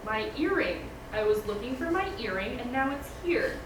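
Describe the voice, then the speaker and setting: Woman acting lovestruck student girl, flustered, higher voice, table read, distant and roomy with noise. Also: animated, moderate pace, lightly acted. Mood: flustered, awkward. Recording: distant, echoey room with background noise.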